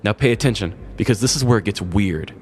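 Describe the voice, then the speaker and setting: A man's voice: fairly low pitch, expressive, fast talker, darkish tone, a little hiss or hum. A man, a podcast host, narrating a scripted comic story in a wry, dramatic storytelling manner.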